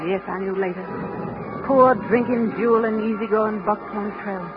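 A woman's voice: mid-range voice, steady tone, normal speed, close to an everyday voice. Genteel, anxious lady played by an actress. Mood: gentle, wistful. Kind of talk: vintage radio drama acting. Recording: muffled old broadcast over music.

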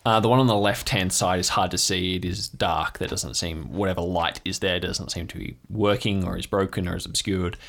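Male game master, low-pitched, measured narration for an improvised tabletop audio adventure. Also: steady tone, creaky, fairly bright tone.

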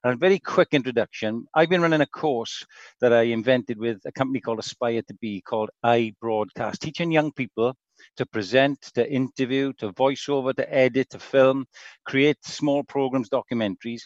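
A man hosts a chatty podcast, talking up his guests with plenty of enthusiasm. His voice sits at an ordinary pitch. It comes through a video call, with flat, dry and muffled sound. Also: normal speed, dry recording. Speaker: podcast host. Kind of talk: upbeat podcast chat.

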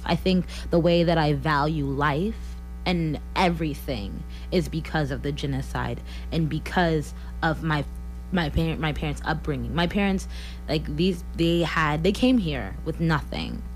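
A woman's voice: low voice, rough recording. A woman in her twenties, a singer-songwriter from Missouri, talking earnestly in a radio interview and laughing often. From Columbia, Missouri. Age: 20s. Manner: earnest, laughs often, many likes and you-knows.

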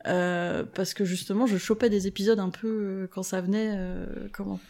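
A woman's voice: mid-range voice, steady tone, moderate pace, mellow. A woman chatting casually as a guest, reminiscing in a hesitant, self-correcting way.

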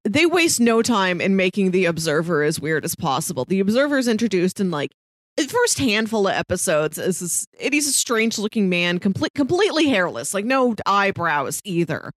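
A woman's voice: mid-range voice, very animated, normal speed, no room sound. A woman co-hosting a casual TV discussion, telling things in an informal, wry way. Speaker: podcast co-host.

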